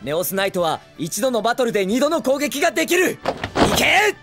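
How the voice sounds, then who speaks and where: A man voicing a brash, excitable teenage boy hero in an anime film, with cocky, eager, boyish speech. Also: very high voice, a little fast, creaky, loud music behind the voice, exaggerated voice. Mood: fired up. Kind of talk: Japanese anime film acting.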